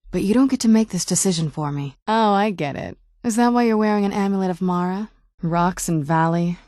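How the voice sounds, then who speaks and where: A woman's voice: lowish voice, darkish tone, no room sound, close to an everyday voice. A woman playing a youthful-seeming ancient vampire noblewoman in a video game, wry and guarded, with a dry conversational delivery. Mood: sardonic, defensive. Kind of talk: video game character dialogue.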